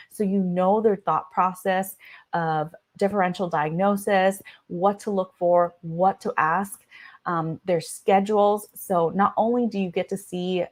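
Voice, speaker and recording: A young woman physician from the United States whose first language is Vietnamese, giving chatty, casual advice with lots of filler words. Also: lowish voice. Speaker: physician, channel host. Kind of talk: casual advice talk to camera.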